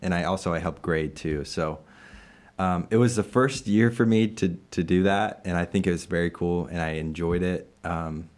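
A man in his twenties in a casual interview, answering in halting phrases with many fillers and restarts, laughing now and then. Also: deep voice, a little mellow. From Illinois, USA. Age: 20s. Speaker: interviewed staff member.

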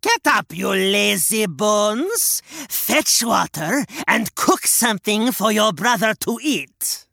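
A storyteller voicing a greedy, cruel old witch in drawn-out, high, screechy tones. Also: huge pitch swings, creaky, fairly bright tone, no echo, studio quality, full character voice. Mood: bossy.

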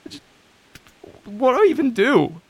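A man acting the part of a lonely, self-doubting recovery coach in an audio drama, halting and introspective. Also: very high-pitched, a bit bright, slightly rough, exaggerated voice. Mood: despairing.

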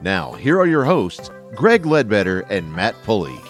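A man's voice: fairly low pitch, very animated, moderate pace, over music. A man reading the scripted opening of a podcast. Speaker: show announcer. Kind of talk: scripted intro over music.

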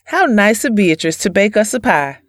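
An actress playing a gentle, trusting wife and mother, politely spoken, in an audio drama. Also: medium pitch, big pitch swings, moderate pace, no room sound, studio quality, lightly acted. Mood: kindly.

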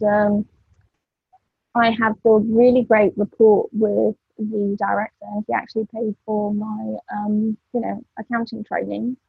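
Woman on a podcast, husky fry, dry close sound, lots of ums. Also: medium pitch, no echo, lo-fi sound. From Ukraine. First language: Russian. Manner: thoughtful, hesitant with many ums. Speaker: podcast guest. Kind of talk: casual interview chat.

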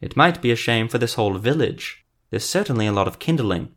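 A man's voice: mid-range voice, animated, brisk, fairly bright tone, close to an everyday voice. A man voicing a mocking, coolly threatening sorcerer who interrogates people in a fantasy audiobook. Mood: cool menace. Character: sardonic, menacing male sorcerer interrogator.

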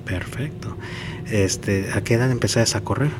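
A man's voice: lowish voice, normal speed, background noise. A man hosting a podcast interview, speaking casually with many fillers and hesitations. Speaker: podcast host. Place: outdoors at a marathon event.

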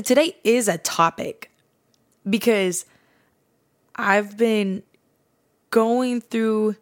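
A young woman hosting a faith podcast, her voice raspy and hoarse from late nights, talking in a rambling, candid, casual way. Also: medium pitch. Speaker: podcast host.

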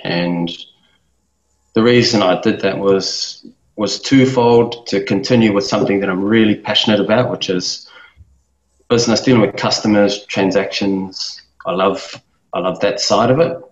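A man in his thirties from New Zealand speaks as an interview guest, his voice sitting low. He thinks aloud, hesitating and restarting often. The sound is roomy and a little dull. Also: fairly even intonation, moderate pace. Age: 30s. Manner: thoughtful, with many fillers and restarts. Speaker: guest, business owner. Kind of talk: casual interview talk.

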